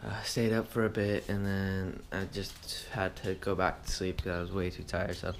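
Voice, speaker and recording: Low-voiced young man, sleepy vlog talk. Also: moderate pace, a little hiss or hum. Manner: relaxed, sleepy, trailing off with uhs. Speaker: vlogger. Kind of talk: casual vlog chat. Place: house room and car, indoors. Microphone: action camera held at arm's length.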